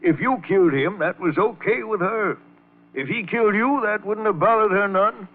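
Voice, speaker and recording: A man's voice: high-pitched, moderate pace, natural voice. An actor playing a folksy older man breaking hard news to a younger man in a 1940s radio drama, with rural diction. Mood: urgent, pressing. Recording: tinny old radio broadcast recording.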